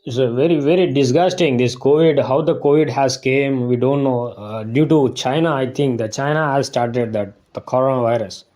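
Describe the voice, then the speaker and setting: Halting man calling in, mellow voice. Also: mid-range voice. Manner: halting, with frequent "uh" and nonstandard grammar. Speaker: caller. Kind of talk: casual call-in chat.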